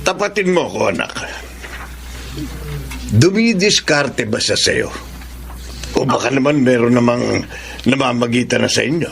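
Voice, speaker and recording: A man playing a nosy, scheming middle-aged father in a Filipino radio drama, gravelly, blunt and probing. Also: mid-range voice, expressive, a little slow, pauses often, a little hiss or hum. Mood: suspicious, probing. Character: nosy, scheming father pushing his daughter to romance.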